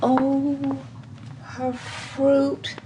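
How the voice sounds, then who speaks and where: An actress playing a timid, sympathetic rural woman in an audio drama, with an American accent and a tentative, soft-hearted manner. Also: high voice, completely flat delivery, very slow, a little hiss or hum, rough recording. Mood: concerned, sympathetic. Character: timid, sympathetic farm-town woman, gently concerned. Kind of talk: audio theatre acting.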